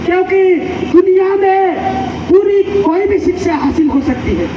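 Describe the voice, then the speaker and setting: A man's voice: extremely high pitch, measured pace. A man from Madhya Pradesh, India giving a fiery, impassioned public speech to a gathering, rousing and scolding his listeners. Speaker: community activist addressing a gathering. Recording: echoey, distant, dull, noisy.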